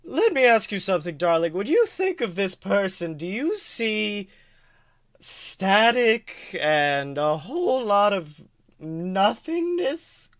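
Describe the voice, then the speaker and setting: A man playing a calm, evasive adventurer in a tabletop game, coaxing and hesitant. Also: sits high, takes it slowly, voice pushed up, full character voice. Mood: knowing. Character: calm, evasive male adventurer placating a stranger.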